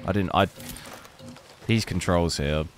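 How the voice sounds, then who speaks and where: A man with a hoarse, lost voice, hosting a PC gaming channel and commenting casually while he plays, thinking aloud. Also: low voice, takes it slowly, a little hiss or hum. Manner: relaxed, rambling, thinking aloud. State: hoarse, losing his voice. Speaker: PC gaming benchmark channel host.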